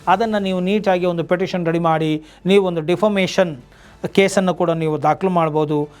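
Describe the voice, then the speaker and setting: A man's voice: high voice, a little mellow. A man with an Indian accent, a lawyer hosting an explainer, speaking didactically and directly to viewers as he gives legal advice. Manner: didactic, addresses viewers directly with rhetorical questions. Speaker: lawyer and video host.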